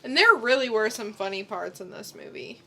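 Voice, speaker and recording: A woman's voice: fairly high pitch, big pitch swings, normal speed, gravelly, sharp tone, slight background noise. A woman co-hosting a podcast, chatting informally and mostly agreeing with her partner. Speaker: podcast co-host. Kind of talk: casual chat.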